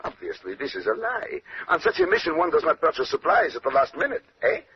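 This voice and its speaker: An actor playing a brilliant, self-assured Belgian detective with a French-speaking accent, speaking precisely and courteously in old-time radio drama style. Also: male voice, fairly high pitch, huge pitch swings, a little fast. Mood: confident, explanatory. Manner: precise, lecturing, punctuates points with questioning "eh?". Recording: dull, noisy old radio recording.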